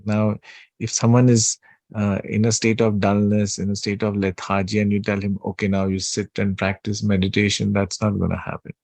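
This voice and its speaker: A man giving an unscripted spiritual talk, slow and soothing, pausing often with fillers and restarts. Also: lowish voice, flat delivery, gravelly, a little mellow, no echo. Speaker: spiritual teacher.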